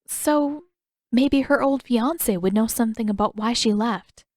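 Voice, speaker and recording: Storybook teen heroine, played by a woman: girl, high voice, headstrong, curious, candid and pressing, close dry sound. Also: a little slow, no echo. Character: earnest, headstrong teenage girl, curious and persistent. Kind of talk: audiobook character dialogue.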